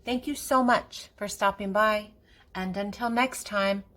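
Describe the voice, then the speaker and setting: An older woman reading a picture book aloud to young children in a playful, animated way as the host. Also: medium pitch, measured pace. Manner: playful, acting out animal sounds.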